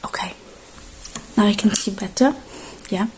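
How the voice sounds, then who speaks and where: A woman doing a soft-spoken ASMR roleplay, slipping in and out of whispers, with a reassuring, halting delivery in short phrases. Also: mid-range voice, slight background noise.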